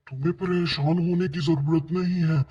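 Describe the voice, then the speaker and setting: A man's voice: a little high, steady tone, normal speed, mellow, slightly rough. A man playing an exasperated, plain-spoken father who complains in a cartoon story. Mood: concerned, complaining. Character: exasperated father of a goat-buying family. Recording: dull lo-fi sound, music behind.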